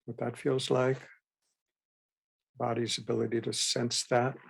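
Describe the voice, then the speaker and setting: A man guiding a meditation as a teacher, with gentle, unhurried instruction and frequent pauses. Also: medium pitch, flat delivery, gravelly, slightly rough. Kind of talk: guided meditation.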